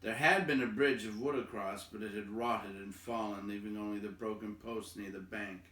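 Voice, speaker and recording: A man reading a fantasy story aloud in a dramatic, measured style, with suspenseful emphasis and pauses. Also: fairly low pitch, fairly even intonation, fairly bright tone. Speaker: storybook reader. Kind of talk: dramatic read-aloud narration of a fantasy novel. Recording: roomy echo, distant microphone.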